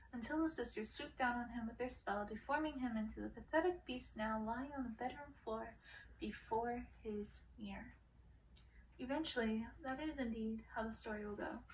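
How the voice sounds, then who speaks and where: A woman with a fairly high, strongly creaky voice reads a dark fairy tale in ASMR style. She tells it dramatically, drawing out words and letting phrases trail toward a whisper. The mic sits somewhat distant, with faint noise behind her. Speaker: audiobook reader. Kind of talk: ASMR reading of a dark fairy-tale story, breathy and hushed in places.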